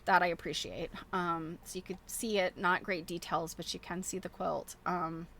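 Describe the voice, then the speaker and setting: A woman's voice: on the low side, level tone, moderate pace, fairly bright tone. A middle-aged woman chatting casually as she shows things to viewers, thinking aloud in a rambling, chuckly way. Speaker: host of a quilting show. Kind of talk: casual show-and-tell chat.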